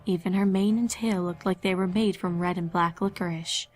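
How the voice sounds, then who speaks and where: A woman reading fan fiction aloud as an expressive story narrator. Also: lowish voice, normal speed, soft background music.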